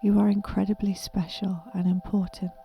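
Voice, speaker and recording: A woman with a soft, breathy, whispery voice gently and soothingly guiding a meditation in a deliberate manner. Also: medium pitch, level tone, muffled recording, soft background music. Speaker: meditation guide. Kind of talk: guided meditation, soft half-whispered narration.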